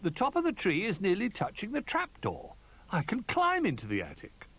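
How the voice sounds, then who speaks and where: Man voicing an excitable, high-pitched little toy bear. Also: measured pace, breathy edge, heavily acted. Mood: eager. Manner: excitable, exclamatory. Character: small eager adventurous young toy bear. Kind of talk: children's storybook character voice.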